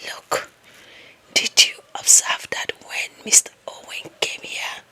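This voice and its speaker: Whispering, lovestruck African office gossip played by a woman. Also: bright, forceful tone. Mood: indignant, insistent. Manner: slow, dramatic, gossipy whispering. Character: lovestruck, deluded, bossy young female office employee. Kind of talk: whispered ASMR roleplay.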